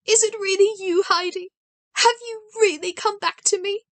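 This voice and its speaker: A woman's voice: very high voice, fairly even intonation, moderate pace, voice pushed up. A woman reading aloud, voicing a frail, blind old grandmother who speaks tenderly and tearfully.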